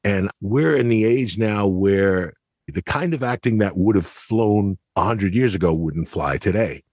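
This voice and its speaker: A man's voice: deep voice, slightly rough, dry recording, studio quality. A man co-hosting a podcast, giving a casual but articulate review with emphatic, explanatory phrasing. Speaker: podcast co-host.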